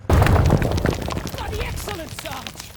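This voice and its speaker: An actor playing a spirited, loyal soldier with a British accent in a war video game, forthright and excitable. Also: male voice, extremely high pitch, drawn-out words, heavy background noise, heavily acted. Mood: elated. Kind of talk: dramatic war game acting.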